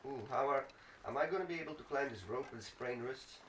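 A man hosts a gaming video in a casual, self-directed mutter with some mild profanity. His medium-pitched voice sits back from the microphone in a roomy space. There is clear noise and clicking on the recording. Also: brisk, fairly bright tone. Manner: muttering to himself, mildly profane. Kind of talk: casual let's play commentary. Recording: roomy, distant mic, clearly noisy with clicks.

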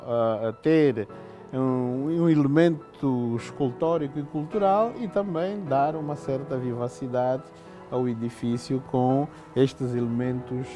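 A middle-aged man from Angola explains a building design in an interview, slowly and haltingly with many fillers, seated in an indoor hall on a lapel mic. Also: mid-range voice, warm tone.

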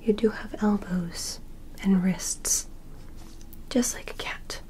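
A breathy, whispered female alien examiner, acted by a woman for an ASMR medical roleplay. She speaks extremely slowly and deliberately, in short, simple instructions. Her manner is gentle and curious. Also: mid-range voice, fairly even intonation, natural voice, low-quality recording. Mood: curious, pleased. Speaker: ASMR performer playing an examiner.

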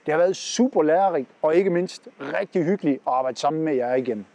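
A man's voice: fairly high pitch, creaky, mellow, no echo. A man in his forties with a Southern Jutland Danish accent, chatting upbeat to camera outdoors on a lapel mic. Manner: upbeat, chatty, pointing while talking. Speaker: trucker vlog host. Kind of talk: casual vlog narration to camera. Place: outdoors by parked trucks.

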